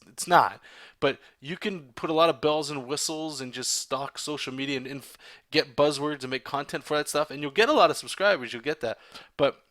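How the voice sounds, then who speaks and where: A man's voice: on the high side, a bit bright, no room sound. A man with a Canadian accent, chatting candidly with his livestream viewers while inking a comic, rambling and restarting his sentences. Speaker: artist streaming a drawing session.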